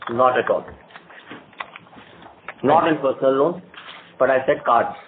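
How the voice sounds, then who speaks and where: A man speaking as a company executive, answering analysts' questions off the cuff, halting and repeating his words. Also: mid-range voice, phone-quality sound. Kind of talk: unscripted earnings call Q&A. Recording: noisy phone conference line.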